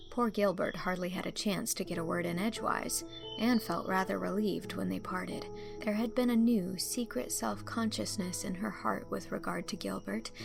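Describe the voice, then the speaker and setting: Woman, medium pitch, creaky texture, expressive literary narration, music bed behind. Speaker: audiobook narrator.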